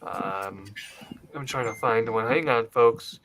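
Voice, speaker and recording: A man's voice: mid-range voice, slow, creaky, a bit bright, a little hiss or hum. A man co-hosting a wrestling podcast in loose, casual chat, rambling into side stories and apologizing for going off topic. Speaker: podcast co-host.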